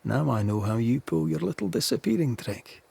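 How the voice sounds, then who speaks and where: Reluctant ghost hunter for a game: very husky, gravelled, stoic, sardonic. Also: male voice, mid-range voice, expressive, moderate pace, dark tone, full character voice. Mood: wry, curious. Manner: dry, sardonic. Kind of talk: dramatic video game acting.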